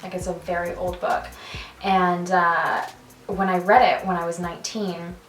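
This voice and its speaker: A young Canadian woman with a lowish voice chatting on camera, animated and quick to laugh. She sits back from the mic in a roomy space, with faint music playing behind her. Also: recorded from a distance. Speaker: vlogger. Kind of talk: casual chat.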